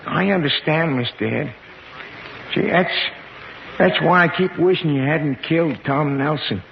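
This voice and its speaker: An actor in a 1950s radio drama playing a slow-witted, eager night watchman, halting, stammering and folksy in his deference. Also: a little high, creaky. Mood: meek, nervous. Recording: muffled, hissy old 1951 radio recording.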